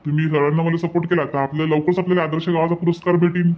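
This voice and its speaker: A man's voice: on the high side, level tone, very fast, lo-fi sound, natural voice. A man voicing an earnest, community-minded village headman in a cartoon, speaking folksy, repetitive rural Vidarbha Marathi like someone making a little speech. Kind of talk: hobby cartoon voice-over, village dialogue.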